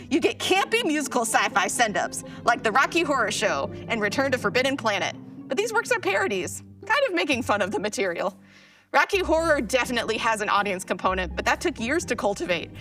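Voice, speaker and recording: Actress as a chatty geek woman, highish voice, slangy and explainy, faint music under it, audio drama. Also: pushed and bright. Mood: keen, explanatory. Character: geeky, chatty modern woman explaining theater to Aristotle. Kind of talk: live stage audio drama acting.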